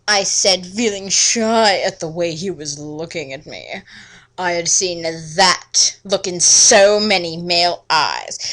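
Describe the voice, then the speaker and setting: A woman's voice: on the low side, a bit bright. A woman host giving a dramatic, comic reading of fan fiction with theatrical, exaggerated emphasis. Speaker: host and reader.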